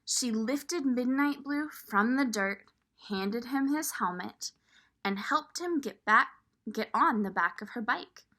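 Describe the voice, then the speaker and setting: A woman reading a picture book aloud to young children, expressive and pausing to point things out. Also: fairly high pitch. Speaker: children's storybook reader.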